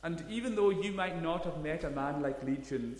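A man's voice: fairly high pitch, normal speed. A man, preaching a sermon in an earnest, emphatic way, leaning hard on key words. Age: 30s. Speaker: pastor. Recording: echoey hall, long reverb.

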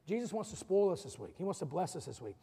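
Gravelly-voiced man preaching in a reverberant church. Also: high-pitched, sing-song, fast talker, warm tone. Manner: preaching with rising emphasis, urging the congregation. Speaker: pastor. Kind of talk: sermon. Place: church sanctuary.

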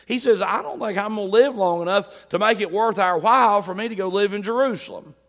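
A man delivers a sermon in a high voice, reading verses and then retelling them in plain, emphatic speech. His words ring out with a long reverb, as if heard in a church sanctuary. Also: brisk, fairly bright tone. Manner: emphatic preaching, paraphrasing scripture in plain talk. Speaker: preacher.

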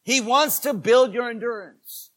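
A man in his sixties preaching a sermon as pastor, swinging between quiet asides and loud, emphatic preaching. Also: very high voice, a little slow. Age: 60s.

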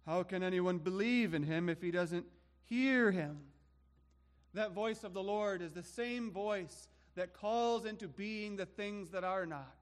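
A man preaching a sermon, slow and emphatic, building his points through rhetorical questions. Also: sits high, in a large hall.

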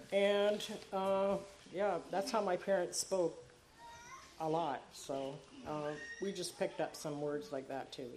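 A woman's voice: on the low side, a little mellow, slight background noise. Earnest woman in her sixties, Dutch first language. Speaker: church member giving a baptism testimony. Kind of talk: reading a personal testimony.

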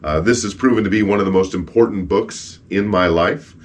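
A man with a rough, froggy voice from a cold hosts a podcast, chatting casually with joking asides and reading stories aloud. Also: sits low, a little fast. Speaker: podcast host and street evangelist.

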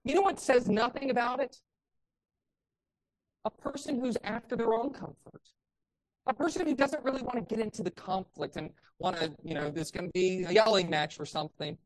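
A woman pastor preaching a sermon with a loud voice, building passionately to emphatic peaks. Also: a little high, moderate pace, low-quality recording.

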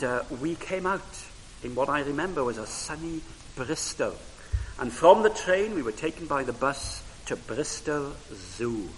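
A man whose first language is Welsh, preaching a sermon in a church in a measured way, with frequent pauses and rising emphasis on key phrases. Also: mid-range voice, a bit bright. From Wales. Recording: reverberant hall with faint hiss.

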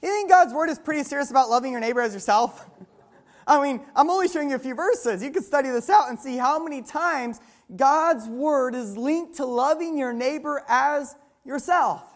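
A woman preaching a sermon, reading scripture slowly and asking the listeners to fill in the words. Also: high voice. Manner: call-and-response questions, reading scripture slowly.

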